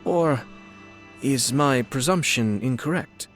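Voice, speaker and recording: A man playing a courteous, slyly dry young noble lord in a video game, speaking in a formal, measured way. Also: mid-range voice, soft background music, close to an everyday voice. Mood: courteous, assured.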